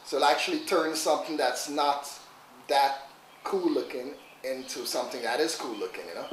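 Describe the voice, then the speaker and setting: A middle-aged Trinidadian man talks in a loose, rambling way, full of "you know" and "like." His voice sits at a medium pitch and is heard from a little way off in an echoey room with faint background hiss. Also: normal speed. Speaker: interviewee, father. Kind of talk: casual interview. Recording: echoey room, mic at a distance.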